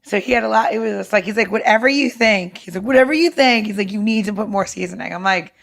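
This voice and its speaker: A woman chatting casually and excitedly, informal in her wording and often quoting bits of dialogue. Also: mid-range voice, fast, vocal fry. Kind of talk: casual chat.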